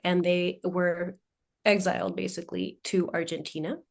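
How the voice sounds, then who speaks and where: Thoughtful, low-voiced woman in her forties. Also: little pitch movement, moderate pace, creaky. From United States. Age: 40s. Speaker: guest, leadership coach. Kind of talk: conversational interview storytelling.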